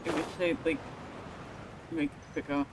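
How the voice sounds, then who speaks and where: A woman's voice: deep voice, little pitch movement, moderate pace, background noise. A woman livestreaming a video game, commenting casually to herself in grumbling, muttered asides. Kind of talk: casual live gameplay commentary, talking to self. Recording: game music behind the voice. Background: video game music.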